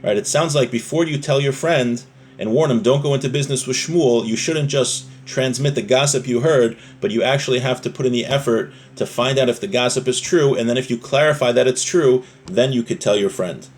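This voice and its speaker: Man, religious teacher, medium pitch, explanatory lesson style, conversational, rephrasing, rhetorical questions. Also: brisk, a little hiss or hum. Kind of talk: explanatory religious lesson reading and translating a text.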